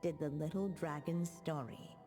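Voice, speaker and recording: A woman playing a tender motherly witch who narrates a video game storybook in a measured, literary, solemn way. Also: low voice, dark tone. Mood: measured storytelling. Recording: long reverb, music underneath.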